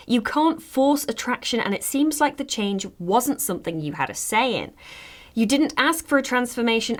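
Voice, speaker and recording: A woman playing a fairytale podcast host, reading advice posts aloud in an expressive, conversational way. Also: a little high, lightly acted.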